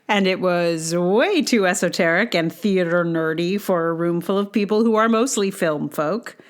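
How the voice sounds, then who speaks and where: Slow-paced woman reading a personal essay. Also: lowish voice. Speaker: podcast host and writer. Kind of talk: reading a personal essay aloud, with casual asides.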